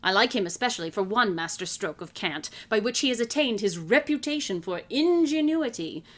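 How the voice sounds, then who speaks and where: An actress playing a brilliant, haughty woman detective in a radio drama, deliberate and drily amused. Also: fairly high pitch, expressive, a bit bright. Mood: dry amusement. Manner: deliberate, ironic, lofty. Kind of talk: live radio drama acting.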